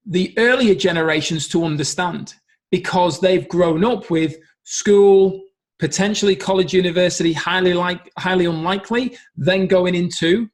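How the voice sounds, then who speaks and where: A middle-aged man giving an earnest motivational business talk, stressing points by repeating them. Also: sits high. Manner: earnest, emphatic, repeats key phrases. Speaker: business coach.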